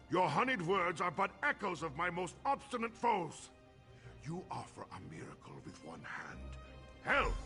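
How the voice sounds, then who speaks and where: An actor playing a proud, paranoid, angry Nordic king and bitter father in a fantasy video game, speaking with theatrical scorn and a gravelly voice. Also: on the high side, sharp tone, exaggerated voice. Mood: scornful. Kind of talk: dramatic fantasy game acting.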